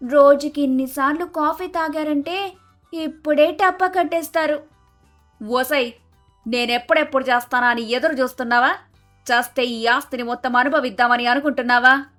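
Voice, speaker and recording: A woman voicing sharp-tongued, sarcastic village in-laws who scold and bicker in a dramatised moral story. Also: high-pitched. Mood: quarrelsome. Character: sharp-tongued bickering mother-in-law and daughter-in-law in village household.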